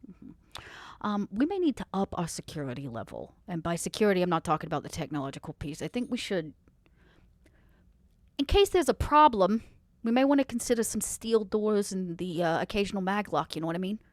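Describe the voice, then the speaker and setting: Lady doing a tough vampire fixer gal for an rpg, folksy n slangy, medium pitch, cool threats, very dry sound. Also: big pitch swings, brisk, no echo, natural voice. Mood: businesslike. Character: tough, protective, shrewd female vampire fixer. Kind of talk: improvised role-play dialogue.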